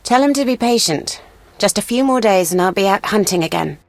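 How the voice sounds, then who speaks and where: Lady doing a proud elf huntress for a game, grave, formal, average pitch, close dry sound. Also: expressive, a little fast, no room sound, faint music behind, close to an everyday voice. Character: proud, serious elven woman, a wounded monster hunter. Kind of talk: video game dialogue.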